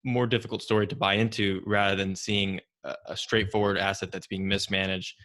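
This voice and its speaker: Deep voiced guy hosting a business chat, pretty monotone, measured. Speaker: interview host, real estate investor. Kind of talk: measured conversational interview.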